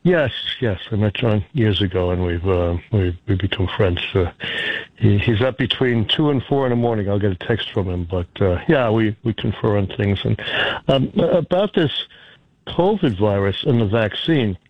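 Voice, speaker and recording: A man calling in to a radio show, telling a chatty, rambling story with halting restarts. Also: lowish voice, animated, no room sound, dull audio. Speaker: radio caller. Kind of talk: phone-in conversation.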